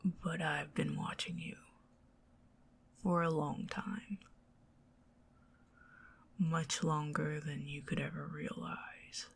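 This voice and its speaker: A woman with a Southern US accent voicing a possessive, scheming goblin queen in a soft, teasing ASMR whisper. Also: low voice, flat delivery, takes it slowly, gravelly, long pauses, breathy edge, full character voice. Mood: coaxing whisper. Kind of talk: ASMR roleplay.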